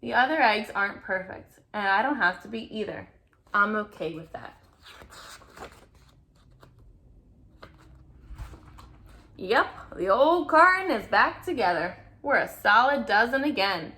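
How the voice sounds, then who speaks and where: A woman voicing an earnest, anxious-to-please male egg in an expressive children's picture-book read-aloud. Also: mid-range voice, moderate pace. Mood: content. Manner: expressive storybook reading with playful emphasis.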